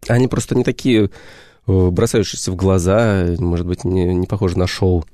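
Mellow, low-voiced man searching for words. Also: fairly even intonation, moderate pace, dry recording, clean studio sound. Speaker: history educator, guest. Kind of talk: radio interview. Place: radio studio.

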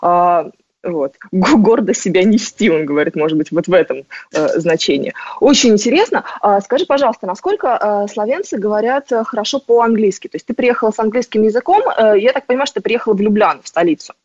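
A podcast host interviewing a guest and relaying listener questions with relaxed curiosity. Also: mid-range voice, fast talker, no echo. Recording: dry, muffled lo-fi audio.

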